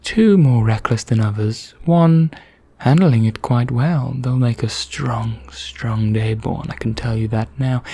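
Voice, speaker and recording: A man playing an ancient, haughty vampire knight in an ASMR roleplay, speaking softly and half in whispers, formal and measured with deliberate pauses. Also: medium pitch. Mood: quietly knowing. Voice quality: soft, breathy, half-whispered, husky. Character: ancient male vampire knight, haughty, languid, darkly courteous.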